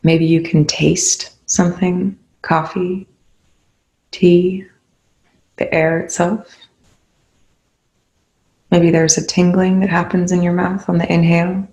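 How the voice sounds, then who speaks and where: Meditation guide: soothing and unhurried, flat steady melody, medium pitch, leaves long pauses between gentle instructions. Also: rough recording.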